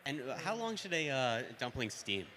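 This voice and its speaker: A man's voice: medium pitch, sing-song, moderate pace, bright, forceful tone, slight background noise. A man interviewing restaurant owners, asking long, rambling, friendly questions.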